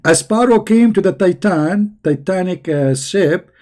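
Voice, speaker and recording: A hoarse man who is losing his voice, giving a sarcastic, scornful commentary. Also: high voice, expressive, measured pace, dark tone. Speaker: religious critic. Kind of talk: mocking video commentary.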